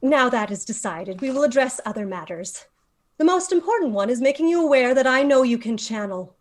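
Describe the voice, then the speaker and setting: A woman's voice: high voice, lively intonation, a little fast. A woman playing a commanding, composed leader of a magical order in a screenplay table read. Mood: measured authority.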